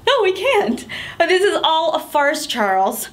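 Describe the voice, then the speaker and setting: A woman's voice: very high voice, very animated, unhurried, heavily acted. A woman performing a stage monologue as a young wife who pleads with her husband not to divorce her, laughing through near tears and rambling. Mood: frantic, laughing.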